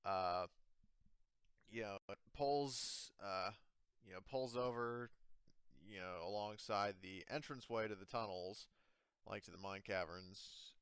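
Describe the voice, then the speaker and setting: A man improvising tabletop game narration as game master in a casual, halting, self-correcting way. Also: fairly low pitch, a bit bright, clear, resonant tone, no echo.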